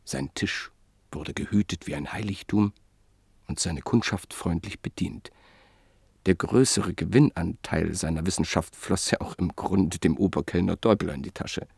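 A man narrating a classic literary radio play in a measured, reflective way that sometimes sinks to a near whisper. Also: sits low, vocal fry, a little mellow, breathy edge, dry recording, clean studio sound.

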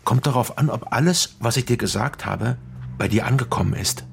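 A middle-aged man , played by an actor, as a hard, condescending politician in a radio crime drama. His mid-pitched voice has a rough creak, and he lectures sternly, with menace under a natural conversational delivery. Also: moderate pace, a little hiss or hum, natural voice.

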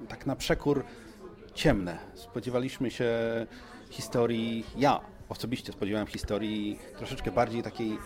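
A man's voice: medium pitch, fairly even intonation, moderate pace, a little mellow. A man hosting a casual live podcast interview in a chatty, informal, roundabout way. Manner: chatty, informal, circling around questions. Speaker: podcast host interviewing guests at an event. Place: bright cellar event space. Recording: clear crowd chatter behind.

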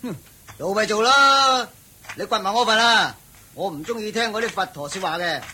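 A man's voice: high voice, normal speed, pushed and bright, slight background noise. A man playing a selfish, lazy, hot-tempered labourer in a Hong Kong Cantonese radio drama, blustering and whining as he exclaims. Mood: grumbling, bossy.

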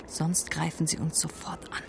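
Solemn young adopted Apache warrior. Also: male voice, fairly high pitch, completely flat delivery, normal speed, vocal fry, background noise. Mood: calming, tense. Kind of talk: German radio drama acting. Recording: music and outdoor sounds behind.

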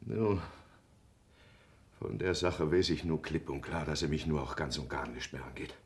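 A man's voice: extremely low pitch, normal speed. An actor playing a wounded, angry, bitter village man in a German radio play, accusing and volatile. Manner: accusing, explosive, then subdued. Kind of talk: German radio play, emotional stage acting.

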